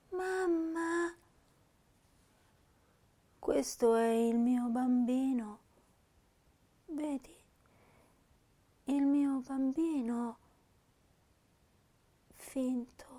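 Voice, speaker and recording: Slow, high-voiced young woman poet. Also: heavily acted. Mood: tender, aching. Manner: slow, hushed pauses with emotional swells. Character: young woman poet, tender, wounded, childlike in longing. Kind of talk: dramatic poetry recitation.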